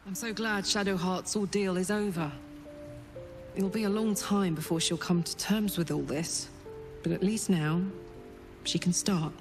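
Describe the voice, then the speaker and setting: A woman's voice: fairly low pitch, fairly even intonation, unhurried, over music. An actress playing a kindly, sympathetic female adventurer in a video game. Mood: relieved sympathy.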